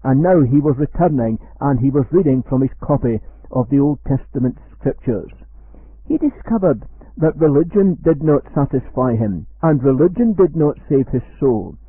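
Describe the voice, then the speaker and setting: A man with a Scottish accent, giving an earnest, measured radio sermon. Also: fairly high pitch, expressive, breathy edge, dry recording. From Scotland. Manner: measured, earnest preaching, slows for quoted verse. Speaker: preacher. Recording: dry, tinny phone-like radio sound.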